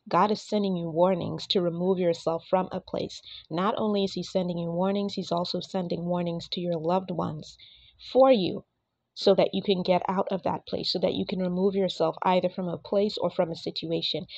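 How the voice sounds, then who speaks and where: A woman who is under the weather and a bit raspy, giving an earnest, casual prophetic message and speaking directly to her listeners. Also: on the low side, darkish tone, no room sound, muffled recording. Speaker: prophetic minister.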